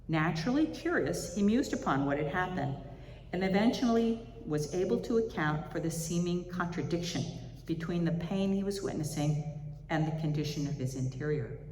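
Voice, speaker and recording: A woman giving a reflection at a service, reading spiritual writing aloud in a measured, reflective way. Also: fairly low pitch, darkish tone. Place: large indoor chapel or hall. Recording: echoey hall with reverb, faint background noise.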